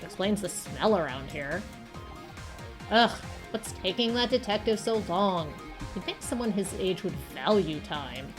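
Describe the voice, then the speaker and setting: A woman's voice: mid-range voice, expressive, a little slow, over music, natural voice. A woman voicing a vain young TV actress in a video game, scornful, whiny and dramatic. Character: vain, snooty young TV actress, spiteful and self-important.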